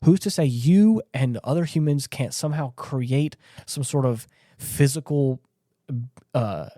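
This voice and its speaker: A man's voice: medium pitch, expressive, unhurried, warm tone, no room sound. Male podcast host. Conversational and earnest, leans hard on repeated phrases, dry recording. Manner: emphatic, earnest, repeats himself for stress. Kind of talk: casual discussion of a story.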